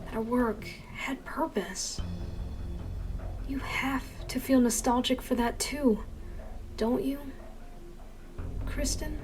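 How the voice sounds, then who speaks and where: A woman playing a young female elf scientist, wistful yet sharp-tongued, in an expressive dramatic fan dub. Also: sits high, takes it slowly, long pauses. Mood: wistful, lonely. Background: background music.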